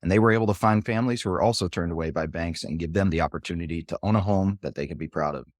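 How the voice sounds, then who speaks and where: A man's voice: low-pitched, racing, dry recording, clean studio sound. A man hosting an interview podcast, reading the guest's bio and then chatting in an upbeat, encouraging way. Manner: upbeat, encouraging, casual fillers. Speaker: podcast host.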